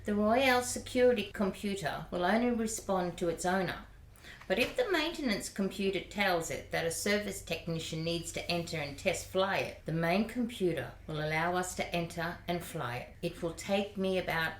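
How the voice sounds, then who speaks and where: A woman playing a calm, precise robot-like helper who explains each step in a measured way, in an echoey amateur radio play. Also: lowish voice, slight background noise, lightly acted. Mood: matter-of-fact. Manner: measured, matter-of-fact.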